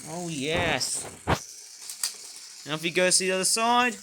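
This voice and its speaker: A man's voice: high-pitched, animated, measured pace, very noisy. A young man casually reviewing a toy train set, rambling and apologetic, with many fillers.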